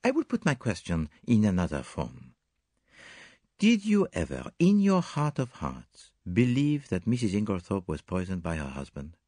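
A man voicing a calm, shrewd male detective in a storybook reading, formal, measured and gently insistent. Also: low voice, dry recording, studio quality, pitched above the performer's own voice, full character voice. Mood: mild, probing. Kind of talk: audiobook character dialogue.

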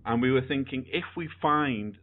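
A man's voice: mid-range voice, moderate pace, phone-quality sound. A British man in his thirties giving casual commentary as a vlogger. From United Kingdom. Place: small indoor room at a desk.

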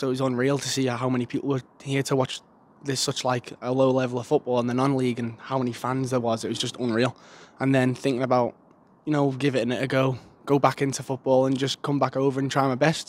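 A young man giving a casual, earnest post-match interview outdoors in a stadium stand into a handheld mic. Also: medium pitch. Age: young adult. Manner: casual, plain-spoken, earnest. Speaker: footballer.

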